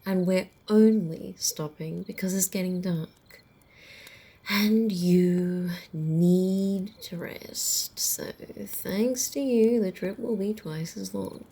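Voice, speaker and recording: Woman acting a breathy, haughty female vampire. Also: mid-range voice. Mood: grudging annoyance. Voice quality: soft, breathy, often slipping into whisper. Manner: slow, drawling, condescending. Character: haughty, sardonic female vampire, grudgingly teasing her human companion. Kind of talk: ASMR roleplay acting, close and intimate.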